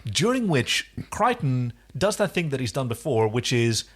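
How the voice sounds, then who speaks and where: A man hosting a podcast, recapping a TV episode in casual, teasing and animated chat. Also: mid-range voice, normal speed. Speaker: podcast host.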